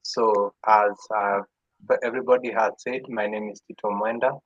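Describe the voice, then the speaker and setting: A man's voice: on the low side, fairly even intonation, normal speed, no room sound. A young man from Kenya, giving hesitant opening remarks on a video call with many uhs. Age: young adult. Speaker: youth forum participant. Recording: dull, dry video call audio.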